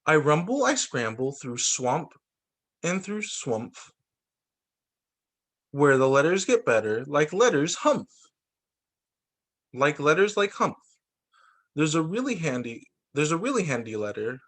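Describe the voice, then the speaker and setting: Man, higher-pitched voice, very lively intonation, sing-song children's read-aloud, teacher storytelling. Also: unhurried, long pauses. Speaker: teacher reading to young students.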